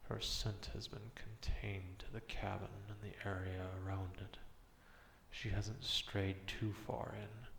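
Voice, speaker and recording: A man playing a gruff, distrustful beast who guards his forest, grumbling and haughty in an ASMR roleplay monologue with a low growl. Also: level tone, slow, fairly bright tone, heavily acted. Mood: grudging, stern.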